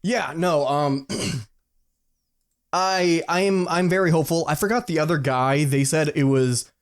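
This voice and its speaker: A man's voice: a little high, measured pace, clean tone. A man co-hosting a podcast, chatting informally in slangy, sweary language and giving loosely hedged opinions. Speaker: podcast co-host. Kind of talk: casual podcast chat about movies.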